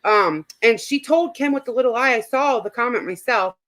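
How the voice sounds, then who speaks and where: A woman with a voice a little higher than usual hosts a casual talk show. She talks through gossip informally, like chatting with friends. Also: animated, brisk, a bit bright.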